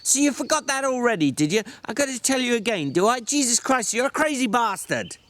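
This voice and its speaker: A man voicing a small, irritable, bossy drifter in a story reading, scolding in sharp rustic slang, exasperated but protective. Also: very high voice, big pitch swings, a little fast, voice pushed up, full character voice.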